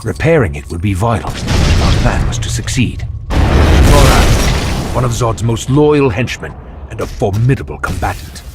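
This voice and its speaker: An actor playing a solemn heroic alien father, narrating a video game dramatically with deadpan humour. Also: fairly low pitch, measured pace. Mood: solemn, wry. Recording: music behind the voice.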